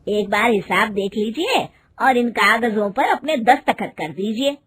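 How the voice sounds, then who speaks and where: A woman's voice: on the high side, expressive, a little slow. Woman acting a deferential male factory manager. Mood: ingratiating.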